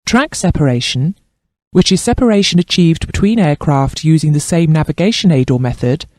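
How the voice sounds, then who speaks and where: A man narrates a training course in a fairly high voice with a soft, mellow tone. His delivery is formal and precise, with plenty of melodic movement as he reads the scripted instruction. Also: expressive, moderate pace, dry recording.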